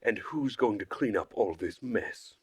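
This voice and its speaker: A man's voice: lowish voice, lively intonation, a little slow, slightly rough, no room sound, voice pushed up. Man voicing a stern, scolding female camp leader. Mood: simmering anger. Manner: scolding, bossy. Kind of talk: visual novel character voice.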